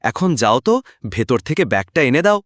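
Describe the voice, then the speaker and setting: A man's voice: mid-range voice, big pitch swings, a little fast, heavy background noise, lightly acted. A man voicing a considerate, agreeable young village husband in a cartoon folk tale. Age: young adult.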